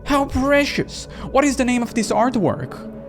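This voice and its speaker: A dorky middle-aged father from a visual novel, put on by a man. His voice is raised high and full of gushing, excitable delight. Music plays clearly behind him. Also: a little slow, full character voice.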